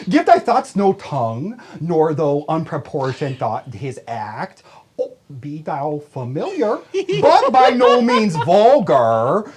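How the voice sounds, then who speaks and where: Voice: medium pitch, a little mellow, husky. A reader in a casual table read, playing a fussy old father and slipping chatty asides into the verse. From Canada. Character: fussy old father giving long advice.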